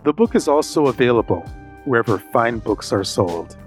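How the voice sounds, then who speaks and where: A man's voice: mid-range voice, animated, normal speed, soft background music. A man hosting a podcast, speaking directly to his guest and listeners. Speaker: podcast host. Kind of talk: podcast hosting and scripted outro.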